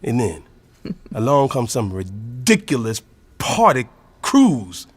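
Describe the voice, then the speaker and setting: An actor playing an older retired professor, a preachy zealot who rambles earnestly and emphatically in an audio drama. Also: male voice, mid-range voice, big pitch swings, slow, husky, no room sound, exaggerated voice. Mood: earnest, rambling.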